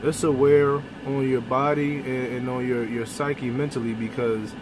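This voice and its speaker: Young male trucker, blunt talker, phone-recorded in the cab with steady road noise. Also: medium pitch, normal speed. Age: young adult. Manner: blunt, animated, with mild cursing. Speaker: truck driver vlogger. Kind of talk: casual vlog monologue. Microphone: phone camera close up. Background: vehicle and air-conditioning hum.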